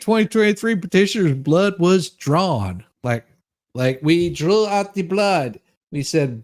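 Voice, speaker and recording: A livestream host giving a comedic, mocking dramatic reading of a document, with crude ad-libbed asides. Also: medium pitch. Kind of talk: comedic dramatic reading of a court ruling, with ad-libs.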